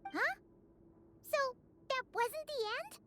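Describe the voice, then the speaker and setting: A woman voicing a tiny, excitable, cheeky childlike fairy companion in a video game, squeaky and full of exclamations. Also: slow pace, over music, heavily acted. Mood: excited, curious. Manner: exclamatory, indignant questioning. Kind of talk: video game character dialogue.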